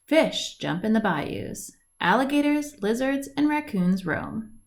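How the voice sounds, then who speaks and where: Woman reading a storybook with lively intonation. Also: a little high, slow pace. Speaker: reader of a children's picture book. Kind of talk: read-aloud storybook narration. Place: indoors at home, at a wooden table by a window.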